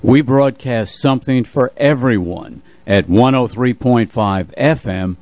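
A man's voice: mid-range voice, measured pace, a little mellow, no echo. A man reading a scripted station identification as a radio announcer.

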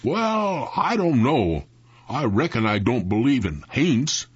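A man's voice: on the high side, very animated, slow pace, a bit bright, slightly rough, no echo, muffled recording, voice pushed up, full character voice. A man voicing a frightened country man in a spooky story, with a rural Southern US accent and a quavering, folksy, panicky way of talking. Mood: nervous, hesitant. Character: frightened, trembling country man, wary of ghosts. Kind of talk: dramatic storytelling.

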